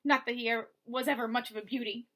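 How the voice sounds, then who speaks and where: A woman voicing a menacing male henchman with a rasping, taunting voice. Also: a little high, steady tone, fast talker, pushed and bright. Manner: sneering, taunting.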